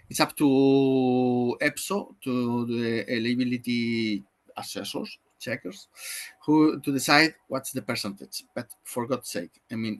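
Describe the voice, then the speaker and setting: Brief: Spanish-accented man, webinar trainer, mid-pitched, conversational, lots of fillers and restarts, video-call sound. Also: fairly bright tone. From Spain. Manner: conversational, many fillers and self-corrections. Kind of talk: explanatory webinar talk.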